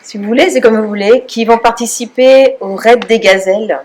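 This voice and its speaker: A woman's voice: fairly high pitch, normal speed, a little mellow. A woman, a schoolteacher, introducing guests in a chatty, laughing manner and correcting herself.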